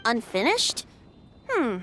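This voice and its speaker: A woman's voice: on the high side, wildly swooping pitch, takes it slowly, fairly bright tone, heavily acted. A woman voicing a cheerful, teasing young male wind god and wandering bard in a video game, theatrical and lilting like a storyteller. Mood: reflective, amiable.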